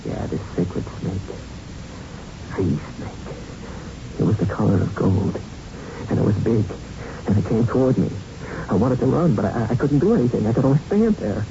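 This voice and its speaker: A man's voice: mid-range voice, lively intonation, lightly acted. Man playing a haunted young boxer, muffled recording. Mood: frightened, shaken. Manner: halting, intense storytelling with streetwise phrasing. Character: haunted young male boxer, tough, plain-spoken, shaken. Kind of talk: 1970s radio drama acting. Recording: muffled, noisy 1970s radio recording.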